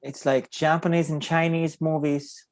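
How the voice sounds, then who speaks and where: A man's voice: fairly high pitch, little pitch movement, unhurried, no echo. A man with a Portuguese accent, a native Portuguese speaker, hosting a casual interview and often searching for words. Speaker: podcast host.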